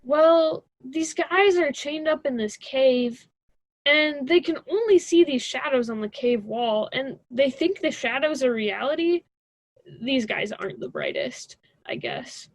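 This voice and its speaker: A woman's voice: high voice, expressive, measured pace. A young woman playing a stubborn, sarcastic college student in a radio drama, with a dismissive conversational delivery. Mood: exasperated. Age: young adult.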